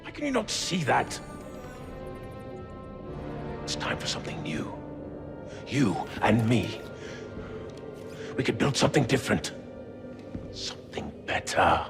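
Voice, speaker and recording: An actor playing an intense fallen warrior villain in a video game, coaxing and threatening with a gravelly voice. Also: male voice, mid-range voice, wildly swooping pitch, slow pace, pauses often, music in the background, heavily acted. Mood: urgent, coaxing. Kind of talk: dramatic video game voice acting.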